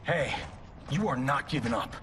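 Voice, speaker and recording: A man's voice: medium pitch, animated, normal speed, noisy background, natural voice. A man playing a brash, loyal movie-star fighter in a video game, with casual wisecracking delivery. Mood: urgent, encouraging. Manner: casual, flippant. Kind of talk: video game cutscene acting. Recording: loud music behind.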